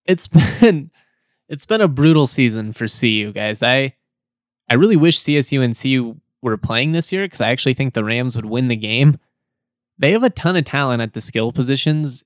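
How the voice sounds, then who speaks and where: Slightly hoarse chatty man hosting a podcast. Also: mid-range voice, no echo, studio quality. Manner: chatty, repeats words, laughs between points. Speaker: sports podcast host. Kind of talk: casual sports podcast talk.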